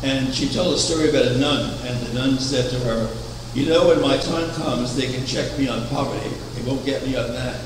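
Older man, sermon at a pulpit, echoey chapel, recorded from far off, air conditioning hum. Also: medium pitch. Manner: measured, anecdotal, with rhetorical questions. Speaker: preacher, founder of a religious order. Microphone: far camera.

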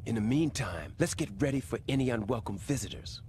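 A woman's voice: rumbling low, animated, fairly quick, a little mellow, slight background noise. A woman playing a cool, serious action team member in a video game, terse and dry. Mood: grim. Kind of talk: video game cutscene acting.

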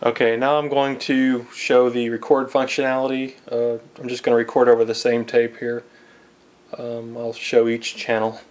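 A man giving a casual, unscripted product demonstration in a halting way, pausing often as he goes. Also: medium pitch, monotone, a little mellow. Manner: hesitant, halting delivery with frequent pauses. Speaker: online seller demonstrating equipment.

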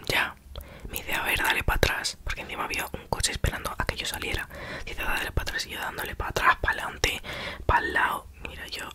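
Whispering young woman telling a story. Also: a little fast. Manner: chatty, self-mocking, acts out quoted exchanges.

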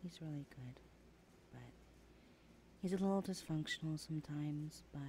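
A woman's voice: low voice, normal speed, dark tone, slight background noise, close to an everyday voice. A woman voicing a nervous but defiant younger sibling in an audio roleplay, hesitant and flustered. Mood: quiet, worried. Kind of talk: amateur audio roleplay acting.

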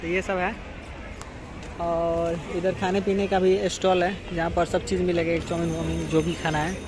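A man's voice: high voice, level tone, normal speed. A young man gives casual, repetitive walking commentary, chatting informally to viewers outdoors on a handheld camera. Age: young adult. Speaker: travel vlogger. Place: outdoors in a busy amusement park. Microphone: phone or camera at arm's length. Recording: noisy outdoor crowd and vehicle din. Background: crowd chatter, outdoor ambience.

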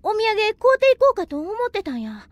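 A woman playing a plucky, warm-hearted girl with a Kansai accent in an anime audio drama. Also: extremely high pitch, big pitch swings, quick pace, dry recording. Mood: flustered.

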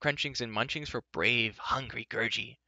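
A man's voice: medium pitch, normal speed, vocal fry, bright, forceful tone, no echo, dull audio. A man voicing a small wild creature for a storybook, whiny, boastful and loyal, speaking of himself in sing-song rhymes. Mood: eager. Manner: third-person self-praise in sing-song rhymes.

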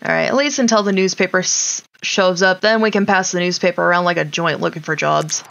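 A woman's voice: fairly low pitch, steady tone, brisk, vocal fry, fairly bright tone, dull audio, slight background noise. A woman giving casual, wry gameplay commentary, talking to her game characters as she plays. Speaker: gaming streamer.

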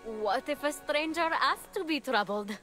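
A woman voicing an eager, slightly awkward young mortal heroine in a fantasy video game, earnest and excitable. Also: sits high, a little slow, faint music behind, exaggerated voice. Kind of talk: video game character acting.

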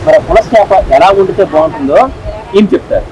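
A man's voice: high voice, quick pace, crowd noise, low-quality recording. A man hosting a video, asking curious, prodding questions at an outdoor riverside market. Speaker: video host interviewer. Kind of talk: casual interviewing.

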